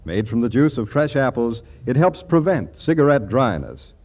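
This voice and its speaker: A male announcer with a dark, mellow tone and very varied pitch. He delivers a scripted commercial in vintage 1940s radio style. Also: big pitch swings, moderate pace.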